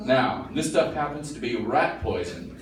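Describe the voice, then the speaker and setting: A man's voice: mid-range voice, animated, measured pace, lightly acted. An actor playing an exasperated, boastful father in an old-time radio comedy, lecturing in a blustery, easily irritated manner. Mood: irritated, evasive. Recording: echoey room, distant mic, noisy.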